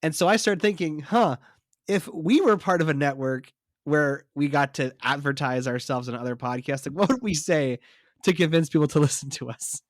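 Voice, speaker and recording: A man's voice: a little high, moderate pace, dry recording, clean studio sound. A man with a stuffy nose from a cold, co-hosting a podcast in casual chat and sharing trivia with a self-deprecating humor. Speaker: podcast co-host.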